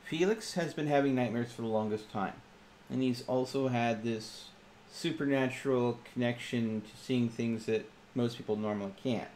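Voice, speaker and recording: A man's voice: mid-range voice, moderate pace, a little mellow. A man talking casually as a player in a tabletop roleplaying game. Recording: clearly noisy background.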